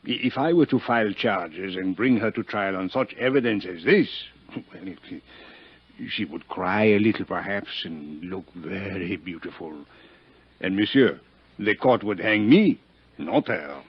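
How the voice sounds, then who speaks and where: Muffled old radio play, a french inspector, husky kinda low voice, polite and weary, lots of hesitating. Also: male voice, lively intonation, no room sound, full character voice. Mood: resigned, musing. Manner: hesitant, deliberate, formal phrasing with French interjections. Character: world-weary, courteous French male police inspector in Algiers. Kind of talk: 1950s radio acting. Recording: dull, muffled 1950s radio recording.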